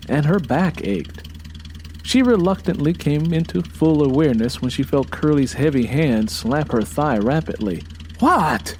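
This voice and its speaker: A man narrating a scripted audio drama in a measured, dramatic storytelling manner. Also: mid-range voice, warm tone, a little hiss or hum. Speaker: narrator and writer.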